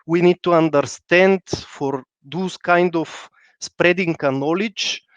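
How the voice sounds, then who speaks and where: A man from Bulgaria with a Bulgarian accent, answering as a panel guest in halting, non-native English. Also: fairly high pitch, smooth, no room sound, lo-fi sound. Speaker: panel guest, head of PMO at a bank. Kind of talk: interview answer on a work topic.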